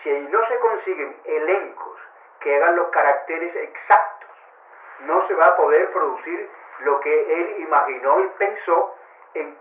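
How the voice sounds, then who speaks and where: Phone-like, echoey man's higher voice. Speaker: interviewee. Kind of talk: opinion given in an interview. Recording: phone-like narrow band, roomy echo.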